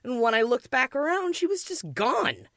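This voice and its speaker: A woman's voice: sits high, wildly swooping pitch, normal speed, a bit bright, no echo, studio quality. A woman casually telling a personal story in an irreverent, slangy and dramatic way. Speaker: restaurant worker telling a personal ghost story.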